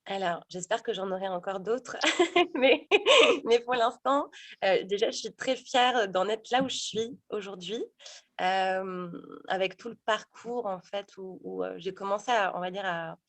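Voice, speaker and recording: A woman's voice: mid-range voice, measured pace, dry recording. A woman in her thirties, a sophrologist and stage actor, talking casually in an interview with many fillers and restarts. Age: 30s.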